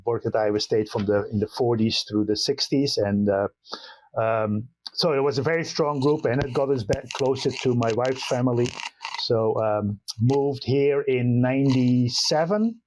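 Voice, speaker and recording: An older man from the Netherlands with a Dutch accent, a professor answering interview questions in an unhurried way with many fillers and restarts. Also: mid-range voice, darkish tone, a little hiss or hum, low-quality recording. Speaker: guest professor of animal science.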